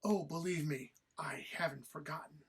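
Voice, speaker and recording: A woman playing a haughty, exasperated companion in a comedy read-through, arch, formal and sarcastic, with a husky voice. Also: low voice, steady tone, normal speed. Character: haughty, exasperated, scolding companion, dry and disdainful. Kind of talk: informal script read-through of audio comedy.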